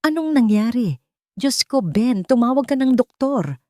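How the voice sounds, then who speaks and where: A woman's voice: fairly high pitch, sing-song, a little slow, warm tone, no echo, voice pushed up. A woman voicing a haughty, judgmental rich woman who scolds and accuses the maid, recorded dry and close. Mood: alarmed. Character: haughty judgmental woman, suspicious sister-in-law.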